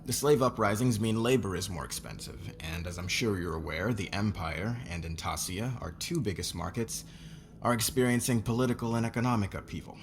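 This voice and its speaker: A man's voice: on the low side, fairly quick, slight background noise, lightly acted. A man playing a curt, sarcastic, hot-tempered company boss in a fantasy ASMR roleplay. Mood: defensive, guarded.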